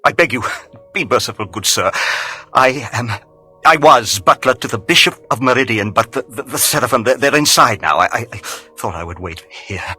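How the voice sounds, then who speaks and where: An actor voicing a timid, servile butler in a video game, husky and pleading, with a nervous stammer. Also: male voice, mid-range voice, expressive, normal speed, sharp tone, faint music behind, exaggerated voice. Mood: pleading, frightened. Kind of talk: theatrical video game voice acting.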